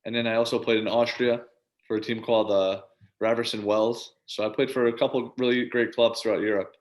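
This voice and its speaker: A man's voice: medium pitch, flat delivery, brisk. A man in his twenties chatting casually as an interview guest, informal and agreeable. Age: 20s. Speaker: interview guest, professional basketball player and coach. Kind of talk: casual chat.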